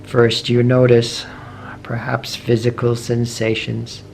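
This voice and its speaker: A man leading a guided meditation in a gentle, measured way, pausing often between short phrases. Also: mid-range voice, completely flat delivery, creaky. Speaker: meditation teacher. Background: soft meditation music.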